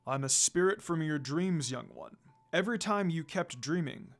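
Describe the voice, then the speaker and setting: A man voicing a calm, kindly dog demon spirit, a father speaking gently and solemnly in a fan fiction reading. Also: a little high, moderate pace, soft background music, close to an everyday voice. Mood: solemn, reassuring.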